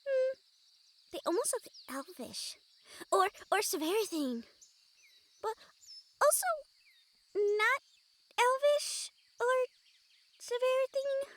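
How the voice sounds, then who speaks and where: Woman acting a very high, bubbly harpy girl. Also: expressive, takes it slowly, long pauses, exaggerated voice. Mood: curious. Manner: eager, girlish, bubbly. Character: excitable, kind-hearted young female harpy, very tall. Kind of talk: audio roleplay acting.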